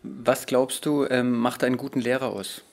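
A man in his thirties asking polite, measured interview questions with fillers, recorded indoors on a handheld recorder he holds. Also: mid-range voice, flat delivery, vocal fry, slight background noise. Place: hotel lounge at a conference, indoors. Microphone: handheld recorder in his own hand.

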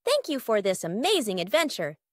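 A woman's voice: on the high side, wildly swooping pitch, a little fast, no echo, clean studio sound, pitched below the performer's own voice. A woman voicing a curious, eager young boy adventurer in a children's storybook, earnest and questioning. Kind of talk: children's storybook character reading.

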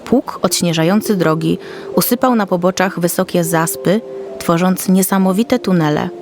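A woman's voice: lowish voice, normal speed, a little mellow, faint music behind. A woman narrator reading a scripted children's story.

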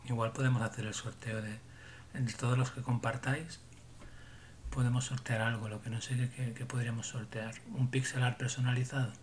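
A man's voice: mid-range voice, a little fast, a little hiss or hum. Man chatting casually on a livestream. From Barcelona. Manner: relaxed, rambling, trails off into muttering to himself. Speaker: livestream host. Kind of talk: casual livestream chat with viewers. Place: small home room indoors.

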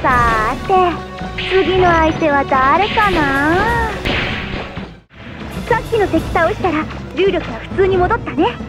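Very high-pitched perky girlish guide. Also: lively intonation, slow pace, heavily acted. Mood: bright, playful. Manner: chirpy, teasing, casual explaining. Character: perky, playful young female guide and ally. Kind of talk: animated Japanese video game cutscene acting. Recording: music behind the voice.

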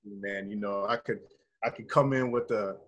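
An American man in his thirties chatting casually on a video call, telling stories in a slangy, rambling, animated way. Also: on the low side, normal speed, highs cut off. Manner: animated, slangy, rambling with frequent "you know" and "like". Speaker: actor being interviewed. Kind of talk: casual chat, storytelling. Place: indoor room, video call.

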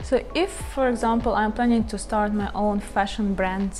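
A woman's voice: mid-range voice, steady tone, normal speed, a little mellow, faint music behind. A woman in her thirties presenting and asking questions as a channel host, on a lapel mic indoors. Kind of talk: presenting and interviewing. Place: furnished indoor lounge.

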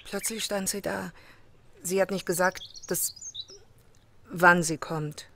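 A woman's voice: on the low side, steady tone, slow, gravelly, fairly bright tone, exaggerated voice. An actress playing an anxious, bitter middle-aged mother of a missing daughter in a crime audio drama, troubled and defensive. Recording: birdsong and noise behind. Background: chirping birds.

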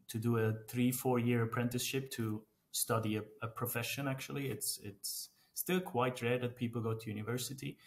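A man in his thirties from Switzerland, a native Swiss German speaker, talking casually as a guest on a video call. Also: medium pitch. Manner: relaxed, frequent restarts and "right?" tags. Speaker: guest, freelance translator. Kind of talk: casual interview chat. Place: small indoor room. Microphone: video call webcam mic.

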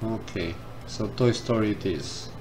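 A man commenting casually on a game as he plays, reading the game text aloud and thinking out loud. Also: lowish voice, unhurried, noisy background. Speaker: gameplay streamer. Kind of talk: casual let's-play commentary. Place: indoors at a computer. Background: game music.

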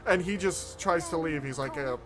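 A man's voice: on the high side, moderate pace. A man streaming casual reaction commentary on a game trailer.

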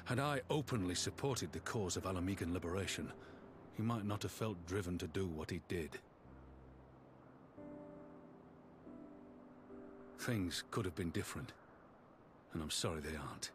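An actor playing a stern, weary, middle-aged warrior general in a video game, speaking formally and with measured gravity. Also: male voice, low-pitched, background noise, natural voice. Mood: regretful. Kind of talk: scripted video game dialogue.

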